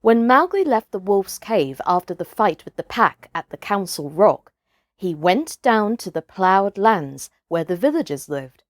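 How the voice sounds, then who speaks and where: A woman reading a children's story aloud as a storyteller, with a deliberate, dramatic delivery. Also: medium pitch, sing-song, no room sound, clean studio sound. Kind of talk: expressive children's audiobook narration.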